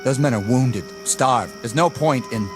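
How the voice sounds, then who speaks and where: A man voices a weary army lieutenant with a troubled conscience, speaking slowly and haltingly. Music plays steadily under his lines. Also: mid-range voice, natural voice. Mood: pleading. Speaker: officer. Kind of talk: radio drama acting. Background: music score.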